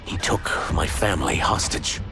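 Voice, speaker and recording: An actor playing a guilt-ridden shapeshifting reptilian outcast in a cinematic video game, speaking earnestly and formally. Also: male voice, low voice, normal speed, music in the background, lightly acted. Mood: grave, bitter.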